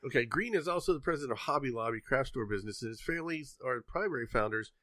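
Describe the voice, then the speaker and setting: A man's voice: mid-range voice, lively intonation, quick pace, fairly bright tone, no echo, clean studio sound. A man co-hosting a podcast, mixing casual, irreverent banter with news items read aloud in a sarcastic way. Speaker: podcast co-host.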